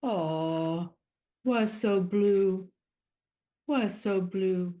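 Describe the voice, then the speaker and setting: A woman's voice: mid-range voice, extremely slow, dark tone. A woman in an improv scene playing a female teddy bear who longs to be bought, talking in a dramatic, fond way. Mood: coaxing. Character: wistful unsold female teddy bear, longing.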